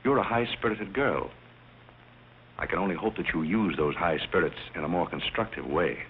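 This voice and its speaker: Courteous, composed butler, 1930s screen comedy, deep and measured, narrow hissy old soundtrack. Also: male voice, animated. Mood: gently earnest. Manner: polite, measured, understated. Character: courteous, composed, wise butler of privileged birth. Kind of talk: 1930s film comedy acting.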